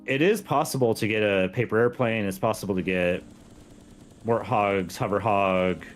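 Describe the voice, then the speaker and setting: A man with a fairly low voice joins a gaming stream as a guest. He chats casually while playing, with game music faintly behind him. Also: normal speed. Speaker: guest on a gaming livestream, mod creator.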